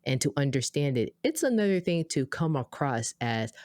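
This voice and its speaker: A woman with an American accent co-hosting a podcast, telling a story in a chatty, animated way with lots of asides. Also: moderate pace, no echo, studio quality. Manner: animated, acts out quoted dialogue with big pitch jumps; chatty, many fillers and asides. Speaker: podcast co-host. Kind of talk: casual conversational storytelling.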